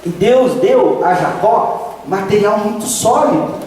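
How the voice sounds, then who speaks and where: A man's voice: high-pitched, lively intonation, takes it slowly, a little mellow, slight background noise. A man, preaching a sermon emphatically, drawing out key phrases and rising to exclamations. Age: 50s. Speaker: pastor. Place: church sanctuary. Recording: echoey hall from a distant mic.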